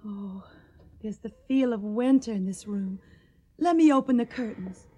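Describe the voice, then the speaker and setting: High-voiced devoted daughter. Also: female voice, unhurried, mellow, breathy edge. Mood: coaxing, anxious. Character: devoted, anxious young Southern daughter. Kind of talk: radio drama acting.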